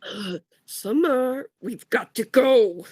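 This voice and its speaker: Girl doing a snooty teen boy, husky n drawn out, impatient, audio play. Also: on the high side, expressive, slow pace, mellow, no echo, heavily acted. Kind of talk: amateur audio drama acting.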